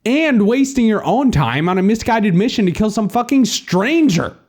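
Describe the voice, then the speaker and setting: A man plays a brash, sarcastic audiobook hero. The voice is raised high and swings widely in pitch. The delivery is blunt, mocking and insistent. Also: very animated, unhurried, darkish tone. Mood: annoyed, mocking. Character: brash, foul-mouthed, sarcastic narrator hero of a post-apocalyptic tale. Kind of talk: audiobook character dialogue.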